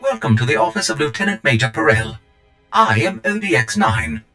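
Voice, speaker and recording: A man's voice: fairly low pitch, big pitch swings, a little fast, bright, forceful tone, soft background music, full character voice. A man playing a fussy, polite receptionist droid in a video game, speaking formally and obsequiously. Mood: unctuous politeness. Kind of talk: video game cutscene acting.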